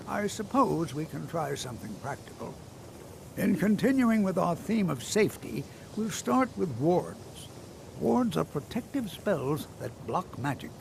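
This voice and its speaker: A kindly old male wizard from a fantasy game, with a creaky voice that rises and falls in a lively way. He teaches patiently and courteously, urging caution, over faint rumbling noise. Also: heavily acted, low-quality recording. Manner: gentle, courteous, instructing. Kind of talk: video game character dialogue.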